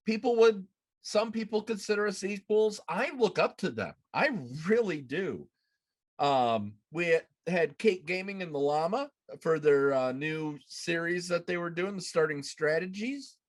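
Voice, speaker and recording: A man in his thirties with a slightly high voice hosts a live podcast. He talks casually, like someone chatting over a video call from a room at home. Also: lively intonation, measured pace. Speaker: podcast host. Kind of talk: casual chat on a live show. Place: small room at home, indoors.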